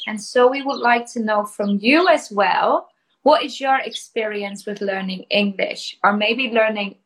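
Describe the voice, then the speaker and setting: A woman hosting a podcast interview, upbeat and encouraging, speaking clearly for learners. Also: fairly high pitch, fairly bright tone, low-quality recording. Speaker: podcast host.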